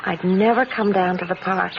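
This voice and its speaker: A friendly, chatty sister played by an actress with a medium-pitched voice, teasing yet wistful. It is a natural radio play performance on a muffled, noisy recording. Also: female voice, fairly even intonation, moderate pace, natural voice. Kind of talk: radio drama acting. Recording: muffled radio drama recording with loud noise.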